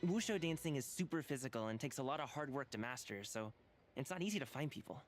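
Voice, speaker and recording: Man playing youthful, easygoing game character, earnest and expressive, soft music behind. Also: mid-range voice, fast, natural voice. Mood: playful. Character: casual, earnest young male wushu dancer. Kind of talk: video game voice acting.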